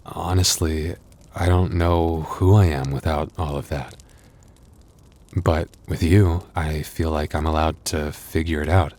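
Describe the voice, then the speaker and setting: A man playing a gentle, anxious young werewolf boyfriend in an intimate audio roleplay, speaking softly and haltingly. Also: very deep voice, fairly even intonation. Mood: tender. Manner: intimate, hesitant, soft-hearted, halting.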